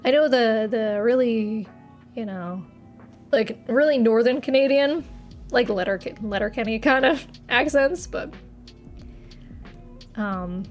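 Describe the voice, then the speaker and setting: A woman's voice: fairly high pitch, takes it slowly, long pauses, faint music behind. A young woman from Massachusetts, chatting casually with her live chat from her apartment, giggling and trailing off mid-sentence. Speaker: streamer.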